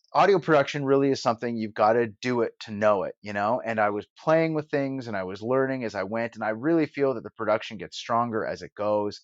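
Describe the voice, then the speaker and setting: A man with a medium-pitched voice speaks casually as an interview guest. He explains things emphatically, stacking up points as he goes. The sound is very dry and close, with a slightly dulled top end. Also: no echo, dull audio. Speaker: radio broadcaster and audio drama producer, podcast guest.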